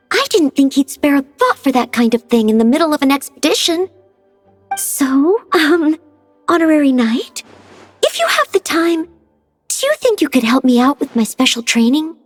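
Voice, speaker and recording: A young girl knight trainee in a game. She sounds polite and earnest in a high voice, a little hesitant, with faint music behind. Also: big pitch swings, normal speed. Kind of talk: game voice acting.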